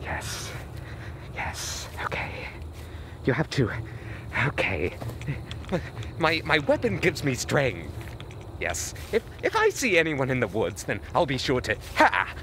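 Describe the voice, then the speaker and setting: A man's voice: medium pitch, huge pitch swings, slow pace, long pauses, noisy background. Stammering nervous man in night woods. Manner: nervous, stammering, repeats words, voice cracks. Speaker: vlogger. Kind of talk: mock-scared comedic night vlog. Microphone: handheld camera.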